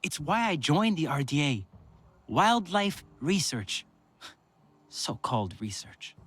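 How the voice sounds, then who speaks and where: An actor playing a gentle, self-deprecating male former wildlife researcher in a video game. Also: on the high side, sing-song, takes it slowly, slightly rough, exaggerated voice. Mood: rueful.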